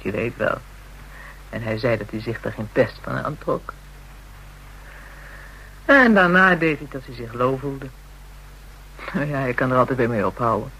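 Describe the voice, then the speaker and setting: An actress playing a hardened, taunting woman in a radio drama, with a husky voice, a Dutch accent and brash, slangy speech. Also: very deep voice, fairly bright tone, full character voice, poor audio. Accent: Dutch (Netherlands). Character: hardened, jealous, taunting woman dealing in kinky services.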